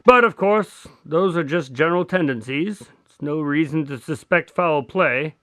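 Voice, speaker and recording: A man acting an easily exasperated detective's sidekick in a modern radio drama, with an expressive, indignant manner. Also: on the high side, unhurried, no room sound. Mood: thoughtful.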